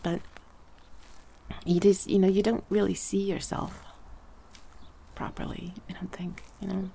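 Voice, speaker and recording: A woman voice performer chatting gently in an ASMR roleplay, slipping between soft speech and whispers, a little congested from a cold. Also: mid-range voice, flat delivery, measured pace, vocal fry, a little hiss or hum.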